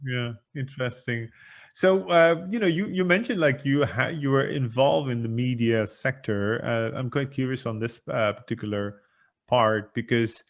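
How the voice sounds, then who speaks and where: A man's voice: medium pitch, normal speed. Hesitant male podcast host. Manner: hesitant, many fillers and restarts. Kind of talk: interview questions.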